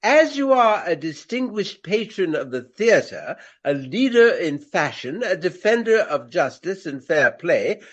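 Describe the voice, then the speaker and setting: A man playing a pompous, vain Regency gentleman actor, speaking in florid, courtly phrases in a radio play. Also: high-pitched, lo-fi sound. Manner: florid, formal, grandiloquent.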